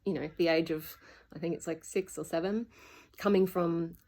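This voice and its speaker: A woman's voice: on the low side, fairly even intonation, normal speed, dark tone. A woman talking alone about healing in an earnest, meandering way, often searching for words. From Solomon Islands. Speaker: spiritual healing channel host. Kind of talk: reflective solo monologue. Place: outdoors at dusk.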